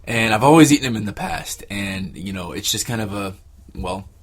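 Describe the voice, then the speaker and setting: A man giving casual, reflective interview answers, haltingly searching for his words. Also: on the low side, animated. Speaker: interviewee, exercise participant.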